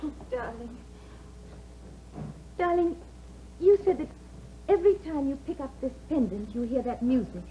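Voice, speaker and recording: An actress playing an anxious young wife who is tense, pleading and often near tears, in a 1950s radio drama. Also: female voice, high voice, expressive, normal speed, long pauses. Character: anxious young wife, fearful for her husband. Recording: dull 1950s radio broadcast with faint hiss.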